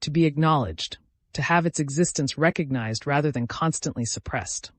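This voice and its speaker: A man's voice: on the high side, normal speed, a bit bright, no echo. A man voicing an exhausted, brooding but resolute warrior in a fantasy story, serious and weary.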